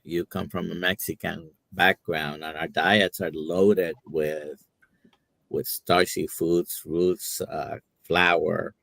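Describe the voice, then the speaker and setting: A man from Puerto Rico, a doctor co-hosting a live stream, talking in a relaxed, conversational way. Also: low-pitched, takes it slowly, vocal fry, dry recording. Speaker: co-host, doctor. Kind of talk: casual chat on a live stream.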